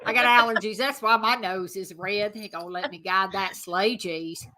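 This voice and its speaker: A woman's voice: mid-range voice, fairly even intonation, normal speed, bright, forceful tone, natural voice. A woman voicing a sassy female reindeer who has allergies. She talks in slang, says "girl" and "ain't", and drops puns.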